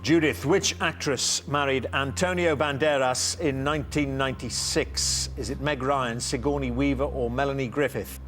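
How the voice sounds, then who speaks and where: A man's voice: medium pitch, fairly even intonation, normal speed, few pauses, a bit bright, faint music behind. A man hosting a television quiz show, upbeat and teasing, reading questions and introducing teams.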